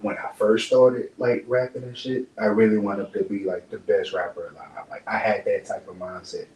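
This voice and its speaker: Brief: laid-back young rapper from Dallas, slangy and rambling, mic set back in an echoey room with faint hum. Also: male voice, on the low side, flat delivery, moderate pace, a little mellow, recorded from a distance. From Dallas, Texas. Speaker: rapper, podcast guest. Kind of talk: relaxed interview chat.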